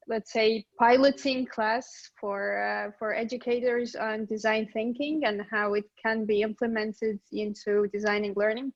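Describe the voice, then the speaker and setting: A woman opens an online workshop with a slow, hesitant introduction, pausing on many filler sounds. Her voice sits a touch high. The call audio is dull and dry. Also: no echo. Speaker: workshop host. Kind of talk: introducing a speaker. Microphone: video call.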